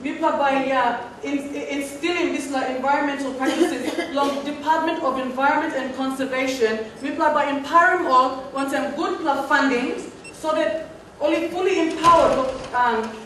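This is a high-voiced woman with a Papua New Guinean accent. She answers formally as a government speaker in a parliament, partly improvising. Her voice rings around a very echoey room, with faint background noise. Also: steady tone, normal speed, fairly bright tone. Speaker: government respondent in a practice parliament. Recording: very echoey hall, distant mic, faint noise.

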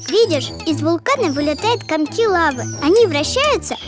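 A woman's voice: extremely high pitch, animated, normal speed. A woman voicing a little, patient child creature who explains things matter-of-factly in a children's cartoon. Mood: explaining. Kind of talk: children's educational cartoon acting. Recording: music behind the voice.

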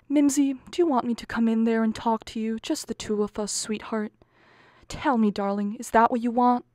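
A woman's voice: a little high, steady tone, moderate pace, darkish tone, dry recording, clean studio sound, natural voice. A woman playing an anxious, bickering middle-aged wife and exasperated mother in a radio comedy play, pleading and arguing melodramatically. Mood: wounded, pleading. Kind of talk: stage comedy acting for radio.

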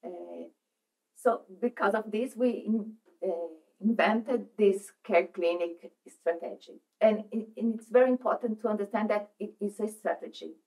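Woman, middle-aged, Brazilian accent, unhurried and halting seminar talk, seated in a meeting room. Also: mid-range voice, darkish tone, rough recording. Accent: Brazilian Portuguese. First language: Portuguese. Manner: halting, searching for words, many restarts. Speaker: psychoanalyst speaker. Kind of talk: presentation at a seminar.

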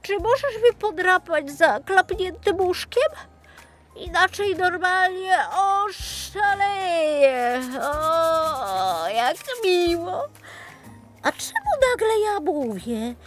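A woman voicing a sleepy, just-awakened talking teddy bear in a drawn-out, childlike way for a children's story. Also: extremely high pitch, expressive, fairly bright tone, soft background music, pitched above the performer's own voice, full character voice. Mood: drowsy, relieved.

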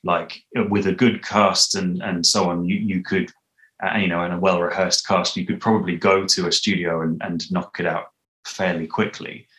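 Man, thirties, deep voice, casual interview chat, thoughtful, halting, home video call. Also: flat delivery, fairly bright tone, rough recording. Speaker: interview guest, audio drama composer.

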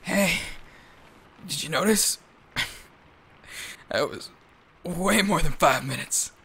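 Bright, higher-voiced teasing young man acted. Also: slow pace, vocal fry, slightly rough, heavily acted. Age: young adult. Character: cocky, teasing young man, a school dropout. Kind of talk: scripted audio drama.

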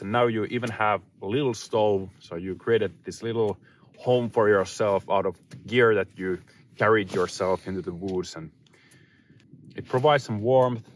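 A man in his thirties with a fairly low voice talks casually to the camera at an outdoor camp. He muses in short, unhurried phrases with pauses between them. Some ambient noise sits behind his voice. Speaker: outdoor vlogger. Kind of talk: casual, reflective chat to camera. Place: inside a small tent and outdoors in snowy woods.

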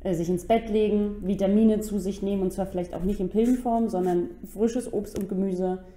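A woman co-hosting a casual podcast, relaxed and quick to laugh, explaining things with a few fillers. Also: mid-range voice, roomy sound. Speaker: podcast co-host. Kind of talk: casual chat. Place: office room.

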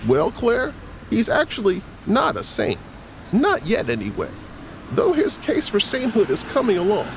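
A man's voice: high-pitched, huge pitch swings, measured pace, a little hiss or hum, heavily acted. An actor playing a friendly man who tells history to children in a folksy, engaging way in an audio drama. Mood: engaged storytelling. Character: friendly male teacher telling children saintly history.

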